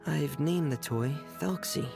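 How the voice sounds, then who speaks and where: An actor playing a reserved, considerate young male toymaker in a video game cutscene, soft-spoken, hesitant and polite. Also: a little high, unhurried, gravelly, over music. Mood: reserved, explaining. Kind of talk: English game cutscene voice acting.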